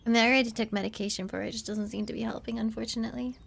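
A young woman streamer who is sick and hoarse from a sore throat, chatting casually and playfully while playing a game. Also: a little high, fairly even intonation, very fast. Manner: playful, laughs often.

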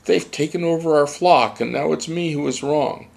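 A man's voice: fairly high pitch, normal speed, gravelly, pitched above the performer's own voice. Man voicing a fretful old seagull. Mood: exasperated. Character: male gull, anxious, exasperated old seabird.

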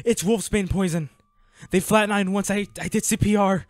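A man playing a devoted, anguished boyfriend in an intimate audio roleplay, pleading and halting with emotion. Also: sits high, fairly even intonation, dry recording, lightly acted. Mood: panicked, pleading. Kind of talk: intimate dramatic audio roleplay, one-sided dialogue.

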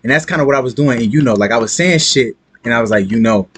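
A man's voice: mid-range voice, fast talker, a bit bright, husky. A man giving casual reaction commentary as a music streamer, talking in slang and swearing freely. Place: small streaming room indoors.

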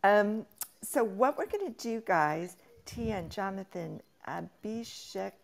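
Host, woman, unhurried but energetic, rallying an audio room, laughs a lot. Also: medium pitch, creaky. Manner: energetic, hype-building, laughs often. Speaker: room moderator. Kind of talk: enthusiastic Clubhouse hosting.

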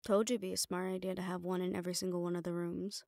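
A woman's voice: lowish voice, flat delivery, racing, vocal fry, a little mellow, dry recording, clean studio sound. A woman playing a composed, protective assistant to a mafia boss in an intimate audio roleplay, speaking firmly and reassuringly straight to the listener. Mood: reassuring, teasing. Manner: firm, reassuring, addressing the listener directly.

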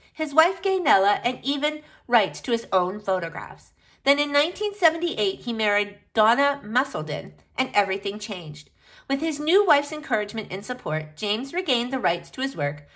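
A woman reading a picture book aloud in an expressive, deliberate storytelling style. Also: on the high side. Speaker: reader of a children's picture book.

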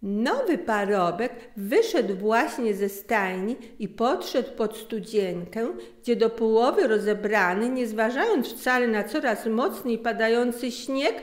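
A middle-aged woman reading a story aloud as an expressive narrator. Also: a little high. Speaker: author reading own story. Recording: echoey room.

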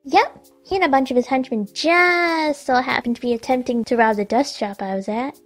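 A woman's voice: high voice, moderate pace, natural voice. An actress playing a perky, cocky, playful teenage huntress girl in an audio drama. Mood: playful bragging.

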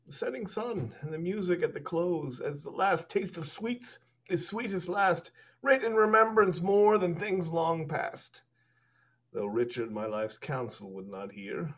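A man in his forties playing a dying old English duke in a Shakespeare reading over a video call, declaiming slowly and with passion. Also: on the high side, lively intonation, fairly bright tone, breathy edge, exaggerated voice. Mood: grave. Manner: declamatory, slow and impassioned. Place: home room. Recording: muffled video call audio.

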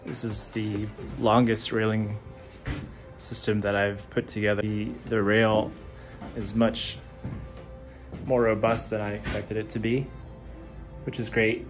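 A man's voice: lowish voice, a little slow, music in the background. Easygoing man talking shop in workshop. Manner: relaxed, thinking aloud with many fillers. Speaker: woodworker vlogger. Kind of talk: casual workshop vlog. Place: home woodworking shop, indoors.

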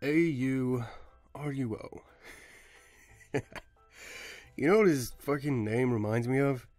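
A man's voice: mid-range voice, takes it slowly, a little mellow, no room sound, faint music behind. A young man, an amateur voice actor, joking casually in slangy outtakes.

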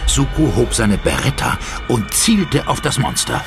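A man's voice: mid-range voice, lively intonation, measured pace, vocal fry. A man narrating a horror audio drama as a grave, suspenseful storyteller. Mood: suspenseful, urgent. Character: ominous male storyteller of a horror tale. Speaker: third-person narrator. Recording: loud dramatic music behind.

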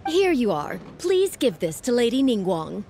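A woman's voice: on the high side, big pitch swings, moderate pace, mellow, slight background noise. A woman voicing a polite young female attendant in a video game, courteous and helpful. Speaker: game character.

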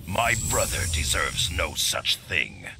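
Very deep gravelly ninja warrior. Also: male voice, lively intonation, full character voice, poor audio. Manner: grave, deliberate. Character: stern honourable ice ninja warrior, adult man. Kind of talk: video game dialogue. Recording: game audio with loud background noise.

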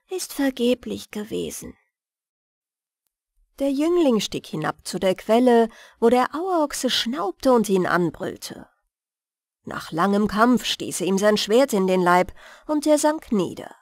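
Expressive high-voiced woman reading bedtime tales. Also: dry recording, studio quality. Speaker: audiobook narrator. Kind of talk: bedtime fairy-tale reading.

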